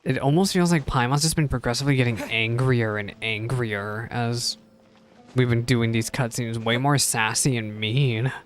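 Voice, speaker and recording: A man's voice: mid-range voice, steady tone, normal speed. Casual male game streamer reacting live. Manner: muttering asides, talking back to game characters.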